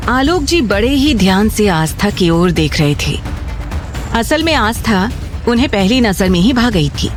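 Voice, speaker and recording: A woman's voice: mid-range voice, big pitch swings, unhurried. A woman narrating a scripted, dramatic story as the storyteller of an audio series. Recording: music bed under the voice.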